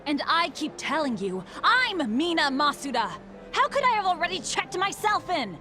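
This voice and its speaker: A woman's voice: sits high, sing-song, moderate pace, over music. An actress playing an exasperated, demanding woman in a video game, speaking insistently and indignantly.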